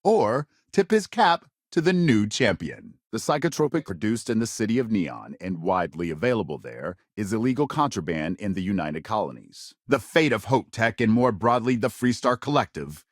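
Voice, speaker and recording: An actor playing a serious, polished male news anchor in a sci-fi game, reading scripted reports with measured, emphatic delivery. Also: on the low side, big pitch swings, no echo, studio quality. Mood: grave, authoritative. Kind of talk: scripted news broadcast narration.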